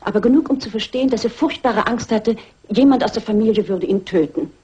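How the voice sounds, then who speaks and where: Husky, timid, anxious woman. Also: a little high, a little fast, warm tone, lo-fi sound. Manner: hesitant, apologetic, polite. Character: timid, anxious female companion. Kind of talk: German radio crime drama acting.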